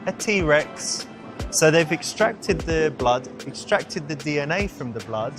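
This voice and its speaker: Male presenter, conversational, explanatory coaching delivery, music clearly behind. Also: on the high side, expressive, takes it slowly. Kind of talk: casual instructional commentary and acted conversation.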